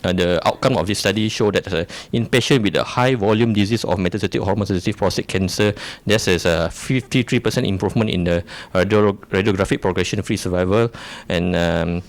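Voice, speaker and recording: Young malaysian guy, very deep voice, lots of uhs and restarts, debate opener. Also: fairly quick, hardly any pauses, no echo. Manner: hesitant, many fillers and restarts. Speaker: urology resident debater. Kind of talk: debate opening statement.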